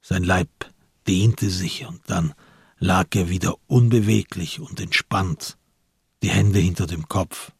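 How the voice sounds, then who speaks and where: A man narrates a radio drama in measured literary prose. His voice sits low and has a husky grain. The recording is dry and close. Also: steady tone, no echo.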